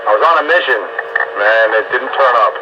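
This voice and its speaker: A man chatting casually as a radio hobbyist over two-way radio, folksy and halting, with a grumbling way of talking. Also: medium pitch. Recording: muffled, noisy two-way radio transmission.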